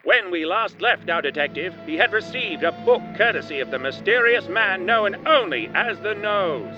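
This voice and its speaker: A man's voice: high voice, lively intonation, normal speed, dull audio, music in the background. A man playing a melodramatic, portentous narrator of an old-time noir radio serial. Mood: dramatic. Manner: theatrical, portentous.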